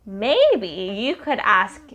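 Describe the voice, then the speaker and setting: A young woman reading a picture book aloud to children in a slow, sing-song storytelling way. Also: mid-range voice, wildly swooping pitch, fairly bright tone, dull audio.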